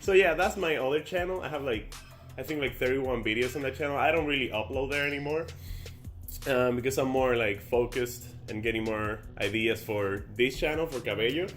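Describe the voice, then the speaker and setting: A man's voice: medium pitch, fairly quick, fairly bright tone, soft background music. Young man with a Dominican accent. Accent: Dominican Spanish. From Dominican Republic. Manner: rambling, many fillers and restarts. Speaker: YouTuber. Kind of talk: casual sit-down chat to camera.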